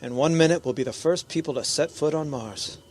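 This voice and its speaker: A man's voice: fairly high pitch, a little fast, husky. A man playing a cautious spaceship captain in a radio drama, giving clipped, commanding orders. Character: firm, cautious male spaceship captain commanding a landing party. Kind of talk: science fiction radio drama acting.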